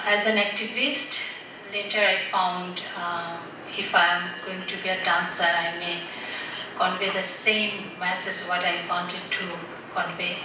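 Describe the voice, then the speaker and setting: A woman speaking on a panel as a photographer, halting between phrases. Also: on the low side. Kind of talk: unscripted panel talk. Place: dim auditorium with seated audience. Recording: echoey noisy hall, far from mic.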